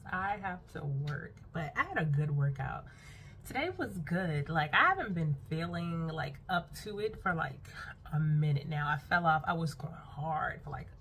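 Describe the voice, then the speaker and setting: A woman's voice: deep voice, a bit bright, a little hiss or hum. Chatty woman vlogging from her bedroom. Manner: chatty, laughs often, calls viewers y'all. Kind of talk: casual chat to camera. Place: bedroom at home, indoors. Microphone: phone camera close up.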